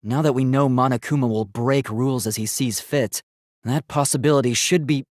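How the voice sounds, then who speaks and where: A man's voice: medium pitch, normal speed, darkish tone, no room sound, studio quality, close to an everyday voice. A man playing an earnest young male robot student in a video game, speaking in a precise, polite and formal way. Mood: analytical.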